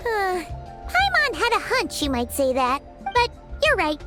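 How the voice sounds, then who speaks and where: A woman's voice: very high voice, very animated, measured pace, heavily acted. A woman voicing a small, chirpy fairy companion who talks about herself in the third person. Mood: hopeful. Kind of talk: video game voice acting. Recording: game audio with music behind.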